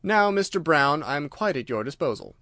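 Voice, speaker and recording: A man voicing a cool, self-assured detective with measured, smooth authority in a storybook reading. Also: fairly high pitch, big pitch swings, sharp tone, no echo, studio quality, full character voice. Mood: suave.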